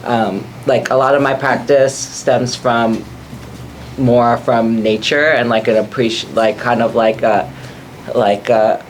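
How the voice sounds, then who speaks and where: A man speaking as an artist on a panel, halting and filling his sentences with "like". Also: mid-range voice, fairly even intonation, slight background noise. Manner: halting, full of "like" fillers. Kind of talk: panel discussion.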